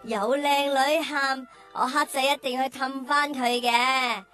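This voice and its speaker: Casting: a cheeky little cartoon bull calf, high-pitched, unhurried, melodramatic show-off. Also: female voice, steady tone, sharp tone, soft background music, heavily acted. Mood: cheeky, eager. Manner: whiny, exaggerated. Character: cheeky young male cartoon cow, whiny show-off. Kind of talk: Cantonese children's radio drama acting.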